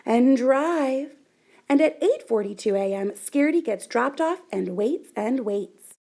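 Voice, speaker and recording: A woman reading a children's picture book aloud in an animated, deliberate way with dramatic emphasis. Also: high voice, gravelly. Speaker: storybook reader.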